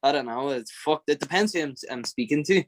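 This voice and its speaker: A man's voice: on the high side, animated, no room sound. A man with an Irish accent, a podcast guest talking bluntly and profanely in a casual interview. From Ireland. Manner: blunt, profane and fiery, quick to laugh.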